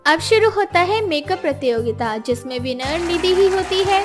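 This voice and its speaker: Lady narrator reading a moral story, high voice, music under it. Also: expressive, moderate pace. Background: background music.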